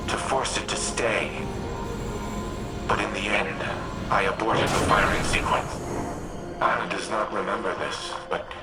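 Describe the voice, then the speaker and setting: An actor playing a grave, remorseful military artificial intelligence in a video game, speaking in a solemn, measured, deliberate way. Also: male voice, fairly low pitch, close to an everyday voice. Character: remorseful military AI war machine, grave and noble. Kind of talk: video game character dialogue.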